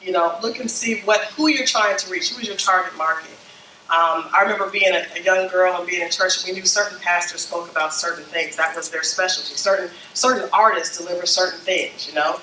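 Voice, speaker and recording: A woman's voice: on the low side, steady tone, a little fast, bright, forceful tone, reverberant, recorded from a distance. A woman with a slightly scratchy voice from a summer flu, giving a workshop talk to a small group and often checking in with "okay?" and "you know?". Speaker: branding consultant speaking to church leaders. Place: meeting room with a small group.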